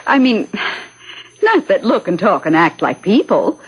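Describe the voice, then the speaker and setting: An actress playing a doubtful, subdued young wife in a 1970s radio drama, sceptical and reserved. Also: female voice, medium pitch, big pitch swings, a little slow, husky, lo-fi sound, exaggerated voice. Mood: disbelieving.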